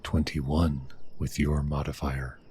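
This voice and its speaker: Very deep, slow male fantasy narrator. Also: level tone, slight background noise. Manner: hushed, deliberate, dramatic pauses. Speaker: game master, narrator. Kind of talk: scripted ASMR fantasy narration.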